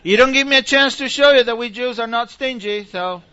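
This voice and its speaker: A man from Argentina, a visiting preacher teaching informally and taking questions in a halting, animated way that repeats phrases. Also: very high voice, smooth, highs cut off.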